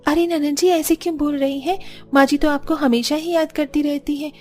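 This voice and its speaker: A woman's voice: high-pitched, fairly even intonation, normal speed, pitched above the performer's own voice, close to an everyday voice. A woman voicing a young, caring daughter-in-law in a cartoon family story, emotive yet respectful. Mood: concerned.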